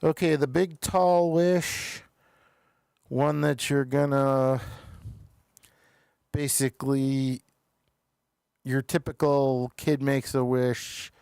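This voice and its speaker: A man hosting a casual review show, halting as he searches for words. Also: mid-range voice, steady tone, vocal fry, darkish tone, clean tone, no echo, studio quality. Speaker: talk show host reviewing TV episodes.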